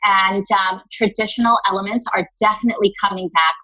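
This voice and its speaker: Chatty woman, bright voice, says honey often, dull lo-fi sound. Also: medium pitch, steady tone, brisk. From Los Angeles area. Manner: chatty, calls listener honey. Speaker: linen store owner. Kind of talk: podcast interview.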